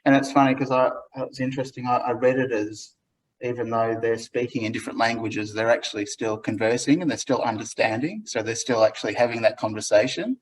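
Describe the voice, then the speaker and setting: A man's voice: medium pitch, a little fast, a little hiss or hum, poor audio. A man hosting an artist talk as gallery director, chatting in an easygoing and encouraging way with frequent fillers. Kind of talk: relaxed interview conversation.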